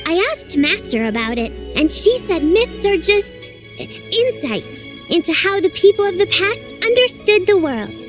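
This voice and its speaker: An actress voicing a cheerful, earnest young girl apprentice in a game, explaining things patiently and brightly. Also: very high voice, full character voice. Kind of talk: game voice acting.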